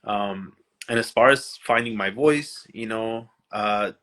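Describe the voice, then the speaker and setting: A man with a fairly low voice talks over a livestream video call. He speaks shyly and haltingly, stumbling and restarting his sentences as he goes. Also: a bit bright. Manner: shy, halting, many restarts. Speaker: musician, livestream guest. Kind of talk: casual livestream chat.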